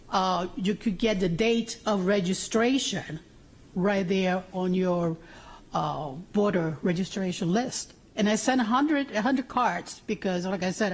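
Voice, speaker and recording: A woman's voice: medium pitch, poor audio. A woman from Puerto Rico giving informal testimony at a public hearing, telling it through anecdotes and quoted remarks. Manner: anecdotal, acts out remembered remarks. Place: city council chamber hearing.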